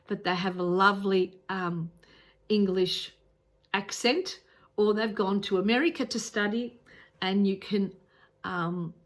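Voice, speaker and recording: An Australian woman talks to camera in an unhurried, slow way, her voice sitting a little low. She sounds earnest and repeats herself, with plenty of ums and restarts as she explains. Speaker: travel vlogger. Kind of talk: casual advice talk to camera.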